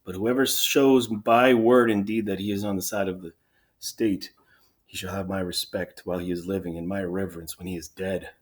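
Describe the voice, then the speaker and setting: Man reading as a stern, commanding king, low-voiced. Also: steady tone, normal speed. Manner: declamatory, formal, emphatic. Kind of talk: dramatic reading of Greek tragedy.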